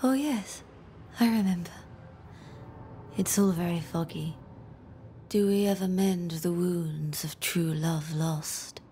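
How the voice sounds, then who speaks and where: A woman's voice: lowish voice, slow, long pauses, mellow, a little hiss or hum. A woman playing a dreamy, fragile, lonely woman in a dramatic game, wistful and drifting in her delivery.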